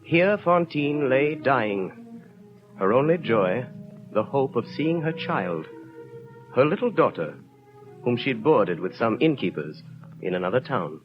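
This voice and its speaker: Measured male narrator for a vintage radio drama, muffled sound, music under. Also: medium pitch, very animated. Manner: grand, measured storytelling. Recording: dull lo-fi 1930s radio broadcast with music.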